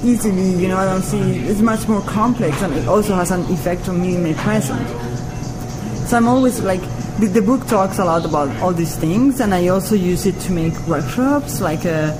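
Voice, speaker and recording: Spanish-accented woman over clear background music. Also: mid-range voice, brisk, low-quality recording. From Spain. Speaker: interview guest, comic artist. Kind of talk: conversational interview. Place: cafe.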